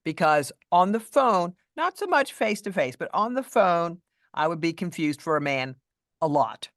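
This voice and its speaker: A middle-aged woman voice coach with a deeper voice than many women, speaking in a measured, thoughtful way as a guest in a casual interview. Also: big pitch swings, no echo, clean studio sound. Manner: measured, thoughtful, with restarts and fillers.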